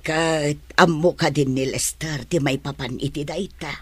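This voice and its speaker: An actress playing a worried, protective middle-aged mother who gently cautions, in a radio drama. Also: sits low, fairly even intonation, measured pace, slightly rough, no echo, soft background music. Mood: concerned.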